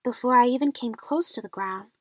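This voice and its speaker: A woman's voice: fairly high pitch, fairly quick, fairly bright tone, no room sound, studio quality. A woman playing a frightened young female adventure fan, narrating her own jungle ordeal in a scripted audio drama. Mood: fearful, suspenseful.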